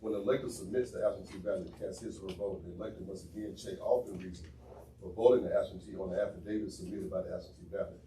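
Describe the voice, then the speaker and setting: A man, a legislator presenting his bill by reading its synopsis steadily and quickly. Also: sits low, steady tone, mellow, slight background noise. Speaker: bill sponsor. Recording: echoey room, distant mic.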